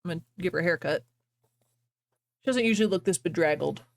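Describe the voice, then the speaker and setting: A woman's voice: on the low side, quick pace, vocal fry, a little hiss or hum. A woman livestreamer chatting casually with viewers and reading fan fiction aloud.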